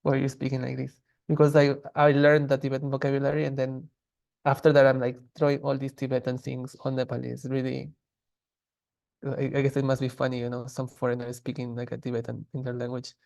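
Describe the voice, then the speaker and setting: Gravelly-voiced man teaching a language class. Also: mid-range voice, fast. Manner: patient, relaxed, with many fillers and restarts. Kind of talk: explaining grammar in a class.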